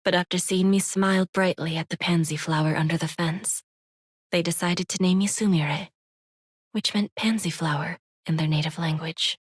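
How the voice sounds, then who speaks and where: Hushed, breathy half-demon woman recalling her past. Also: on the low side, steady tone, no room sound, clean studio sound. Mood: tender. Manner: slow, solemn, wistful. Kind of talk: scripted dramatic narration. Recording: music behind the voice.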